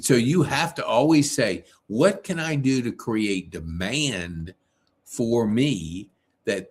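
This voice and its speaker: A man hosting a casual interview, rephrasing his questions in a halting, emphatic way. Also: mid-range voice, darkish tone, slightly rough. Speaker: interview host.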